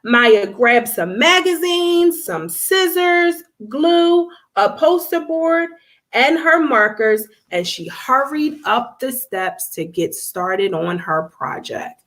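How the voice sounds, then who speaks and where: A woman reads a storybook aloud to children at a slow, deliberate pace. Her voice sits fairly high and swings widely in pitch as she addresses her young listeners directly and expressively. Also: expressive, fairly bright tone. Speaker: children's book author reading aloud.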